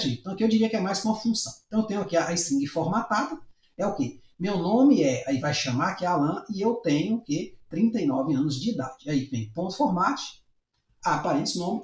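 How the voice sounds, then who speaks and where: A man with a Brazilian Portuguese accent explaining programming in a casual coding tutorial, often checking in with tag questions. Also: sits high. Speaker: programming teacher. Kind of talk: screen-recorded coding tutorial. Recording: echoey room.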